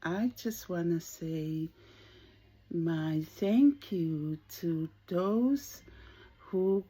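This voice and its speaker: A woman's voice: lowish voice, expressive, very slow, mellow, husky. A Samoan woman in her sixties, speaking English as a second language, talking to camera in a hesitant way as she searches for words. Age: 60s. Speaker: vlogger. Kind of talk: casual talk to camera.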